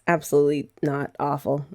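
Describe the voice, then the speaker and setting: Young woman, low-ish voice with a lot of fry, chatty and teasing like she's talking to her partner up close. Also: expressive, moderate pace, warm tone. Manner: chatty, playful and teasing, laughs often. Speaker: ASMR creator. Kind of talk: scripted ASMR roleplay as a girlfriend.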